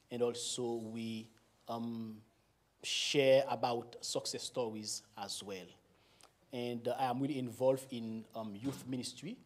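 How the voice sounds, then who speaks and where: Man, 40s, Haitian accent, Creole native; unhurried guest pastor, easy laugh. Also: mid-range voice. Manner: slow, warm and laughing, with frequent pauses. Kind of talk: church talk.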